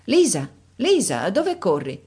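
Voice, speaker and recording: A woman voicing a fussy, imperious elderly countess in a read-aloud story, speaking in a shrill, scolding manner. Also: wildly swooping pitch, slow pace, a little mellow, husky, exaggerated voice. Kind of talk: audiobook character voice.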